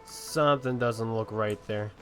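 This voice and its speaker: A man's voice: lowish voice, measured pace, a bit bright, faint music behind. A man reacting casually while he plays a video game.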